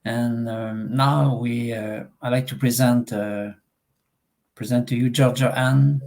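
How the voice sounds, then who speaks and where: A man from Quebec with a French-Canadian accent, French as his first language, interviewing a guest in a live online chat, pausing often with fillers and restarts. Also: medium pitch, steady tone, creaky, darkish tone. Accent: Québécois French. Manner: hesitant, many fillers and restarts.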